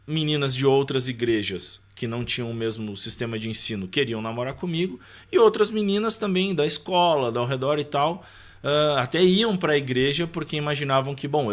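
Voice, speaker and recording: An adult man with a medium-pitched voice. He talks casually, like a podcast guest recounting his own life. He often acts out bits of remembered dialogue and drops in small tag questions. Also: a bit bright. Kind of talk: casual personal storytelling.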